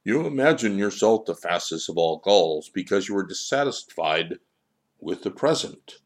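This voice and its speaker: Wise boy sage, played by a male narrator: stern, solemn, low register, firm storybook admonishments. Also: expressive, normal speed. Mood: stern, admonishing. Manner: stern, declarative, repetitive, solemn. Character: stern, wise, all-knowing boy child, calmly admonishing. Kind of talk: storybook character dialogue.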